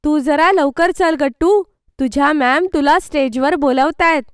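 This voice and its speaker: Woman voicing an excited high-pitched little schoolgirl. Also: measured pace, dry recording, clean studio sound. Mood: urging. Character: eager young schoolgirl, bossy friend. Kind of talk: children's cartoon story acting.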